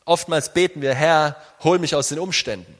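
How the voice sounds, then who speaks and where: A man's voice: on the high side, moderate pace. Man preaching in a reverberant hall. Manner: animated, conversational, addresses listeners directly. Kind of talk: informal sermon to a congregation.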